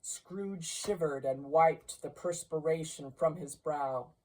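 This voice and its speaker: A woman narrates an old tale with care and expression. Her delivery is slow and measured, and she sounds a little distant from the mic. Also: deep voice, a little mellow. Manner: measured, deliberate. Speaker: storybook reader. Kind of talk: expressive scripted narration of a classic story.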